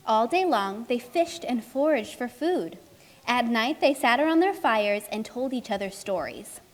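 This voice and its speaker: A woman's voice: a little high, close to an everyday voice. A woman playing a friendly, curious narrator in a children's puppet show, telling the story expressively and chatting with characters.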